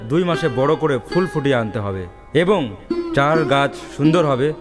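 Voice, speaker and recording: An elderly village patriarch, acted by a man in a cartoon folk tale. His intonation rises and falls widely as he delivers measured, commanding pronouncements. Music plays clearly behind him. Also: a little high, expressive, close to an everyday voice. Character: elderly village headman, father of three grown sons.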